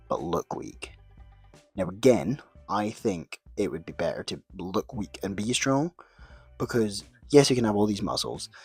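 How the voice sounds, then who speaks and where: A young man with a low, slightly husky voice, chatting casually to camera. He rambles, pokes fun at himself and swears without thinking. Faint music plays behind him. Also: dry recording. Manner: rambling, self-mocking, swears casually, trails off and restarts. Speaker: video creator answering questions. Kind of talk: casual chat.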